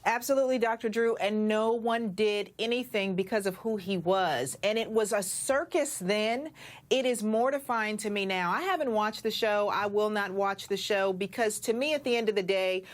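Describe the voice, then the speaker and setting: A woman's voice: mid-range voice, moderate pace. An American woman arguing emphatically as a commentator in a TV panel debate.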